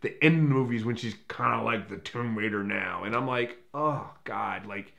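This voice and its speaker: A man's voice: fairly low pitch, normal speed, creaky, fairly bright tone. A man speaking off the cuff as a film reviewer, opinionated and emphatic, with casual swearing. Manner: profane. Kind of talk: casual unscripted review commentary.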